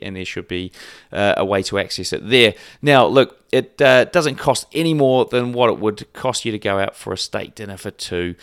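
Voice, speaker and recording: A chatty host, his voice sitting a little low. He talks in a loose, friendly way, full of ums and restarts. His voice comes through smooth and dry, close to the mic. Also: fairly bright tone, no room sound. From New Zealand. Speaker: podcast host. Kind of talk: casual interview chat.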